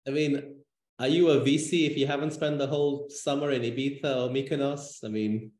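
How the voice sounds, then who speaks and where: A man's voice: mid-range voice, fairly even intonation, a little fast, a little mellow. Male podcast host in an echoey room. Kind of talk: casual podcast interview. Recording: roomy, echoing sound.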